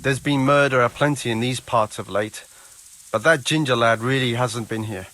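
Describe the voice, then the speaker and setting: A man's voice: mid-range voice, normal speed, pushed and bright, lightly acted. Dry, dismissive medieval charcoal burner played by a man. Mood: dry, reluctant. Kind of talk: video game cutscene dialogue.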